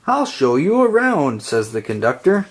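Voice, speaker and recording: A woman reading a children's picture book aloud in a gentle storytelling way. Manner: slow, gentle storytelling with lively pitch. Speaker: storybook reader.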